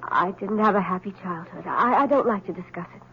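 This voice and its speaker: An actress playing a proper, guarded, mysterious wife, speaking hesitantly in a 1970s radio drama. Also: female voice, mid-range voice, fairly quick, creaky, sharp tone. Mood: reluctant, confiding. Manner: reserved, hesitant. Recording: dull, faintly noisy old radio broadcast.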